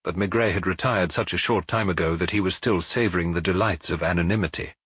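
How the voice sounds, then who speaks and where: Low, husky male audiobook narrator. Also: quick pace, fairly bright tone, no room sound. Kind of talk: expressive scripted narration of a detective story.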